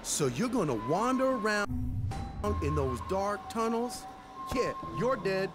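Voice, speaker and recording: A man's voice: high-pitched, very animated, measured pace, over music. An actor playing a sarcastic, doubtful male survivor in video game cutscene dialogue.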